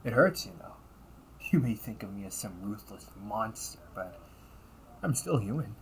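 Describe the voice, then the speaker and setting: A man voicing a cunning, condescending villain and older brother in an audio roleplay, taunting and theatrical, often dropping toward breathy near-whispers. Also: lowish voice, lively intonation, moderate pace, slight background noise, poor audio. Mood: amused, condescending. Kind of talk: audio roleplay monologue addressed to the listener.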